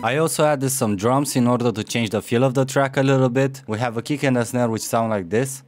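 A young man with a Romanian accent walks through the making of a song remix, relaxed and a little self-mocking. He talks into a studio mic in a small home studio, with faint music underneath. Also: mid-range voice, a little fast. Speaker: music producer. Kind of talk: casual tutorial vlog. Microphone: studio mic on stand.

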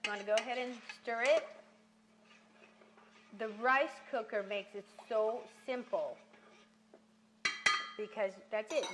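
A woman's voice: on the high side, a bit bright, slight background noise. A young woman hosting a cooking show from a home kitchen, explaining steps in a chatty, instructive way. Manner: chatty, instructive, says okay often. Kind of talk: cooking demonstration.

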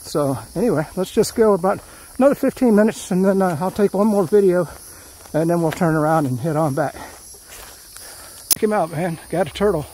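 A middle-aged man filming himself on a woodland hike, his voice a touch high but mellow and soft-edged. He talks in a loose, folksy ramble, full of fillers and false starts. Faint insect buzz hums in the background outdoors. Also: brisk, a little hiss or hum, low-quality recording. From Florida. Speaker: hiking vlogger. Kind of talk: casual hiking commentary. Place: outdoors on a forest trail.

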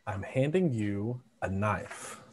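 A man's voice: on the low side, takes it slowly. Man hosting a game show on a video call. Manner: announcing rules in a steady, formal way. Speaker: game host. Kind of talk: hosting an online elimination game.

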